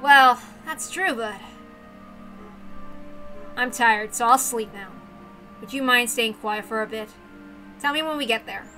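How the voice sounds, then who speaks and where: A bitter, weary young woman consumed by revenge, voiced by a female reader in a visual novel monologue. She broods intensely in a highish, bright voice over faint music. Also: unhurried, long pauses, poor audio. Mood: weary, dismissive.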